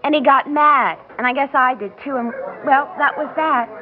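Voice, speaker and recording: An actress in a classic radio drama playing a chatty, pushy young office worker with a gossipy, matter-of-fact manner. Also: female voice, high voice, normal speed, background noise, close to an everyday voice. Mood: complaining, gossipy. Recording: tinny vintage radio recording.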